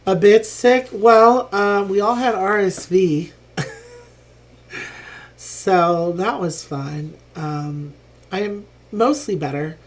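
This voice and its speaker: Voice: medium pitch, takes it slowly. A streamer casually talking through a live board game playthrough, thinking aloud with frequent ums and a lingering cough after being ill.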